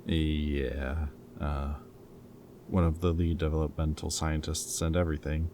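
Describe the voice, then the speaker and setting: With clear background noise, a man acts out a captured rebel scientist with a very low voice. He is caring and weighed down by guilt, talking haltingly and earnestly, as in an intimate dramatic audio roleplay. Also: flat delivery, dark tone. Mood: reluctant, confessional. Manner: hesitant, halting, casual and earnest.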